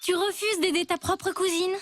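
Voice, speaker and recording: A woman's voice: very high voice, flat delivery, moderate pace, background noise. A woman voicing a dry, slightly sarcastic ten-year-old girl in a cartoon. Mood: wry. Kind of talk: cartoon dubbing.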